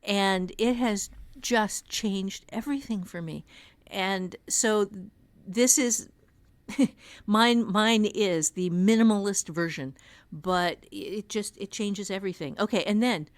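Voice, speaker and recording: A woman's voice: medium pitch, takes it slowly, no room sound. A woman co-hosting a podcast, chatting casually in a candid, emphatic, self-deprecating way. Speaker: author and teacher, podcast co-host. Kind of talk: casual chat.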